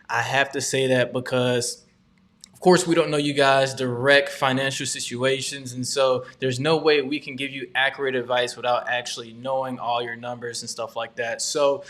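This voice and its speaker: A man's voice: medium pitch, fairly bright tone. A man from Georgia hosting a live question-and-answer stream, explaining updates in an upbeat, conversational way with frequent ums. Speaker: host.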